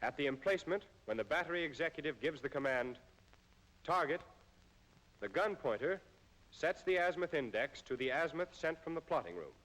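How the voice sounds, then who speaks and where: Higher-pitched American man, formal film narration. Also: animated, fairly bright tone, rough recording. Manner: formal, measured delivery. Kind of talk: scripted instructional narration. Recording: old military film soundtrack.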